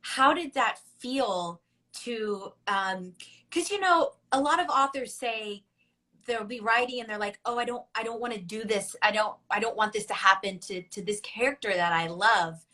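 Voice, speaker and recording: A woman's voice: medium pitch, moderate pace, sharp tone. A woman hosting a book club interview, asking her questions with enthusiasm and repeating words as she goes. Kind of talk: conversational interview.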